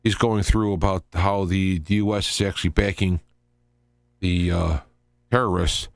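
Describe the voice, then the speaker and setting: A man hosting a live talk radio show, giving unscripted commentary in a rambling, halting way with stops, starts and digressions. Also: deep voice, steady tone, vocal fry, no echo, clean studio sound.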